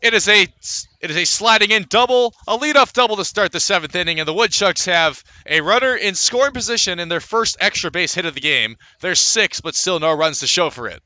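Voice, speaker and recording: A man calling live baseball play-by-play as a radio announcer, conversational between pitches and lifting his delivery for the action. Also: no room sound. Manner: lifts into excitement on plays, conversational between pitches.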